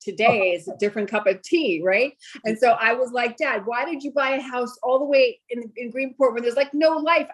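A woman, a restaurant owner chatting in an interview, enthusiastic and full of "you know" fillers. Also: on the high side. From Brooklyn, New York. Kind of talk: casual interview chat.

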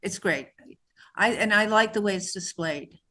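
A woman's voice: mid-range voice, moderate pace, sharp tone. A middle-aged woman author raised in New York, answering interview questions in a conversational way with frequent restarts. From born in New Mexico, raised in New York.

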